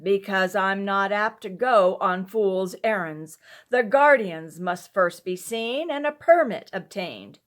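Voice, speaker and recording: A kind-hearted village wheelwright from a classic storybook, voiced by a woman reader. He is earnest and resolute, gentle in feeling but firm when he makes his case. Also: mid-range voice, takes it slowly, hardly any pauses, close to an everyday voice. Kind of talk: storybook character voice.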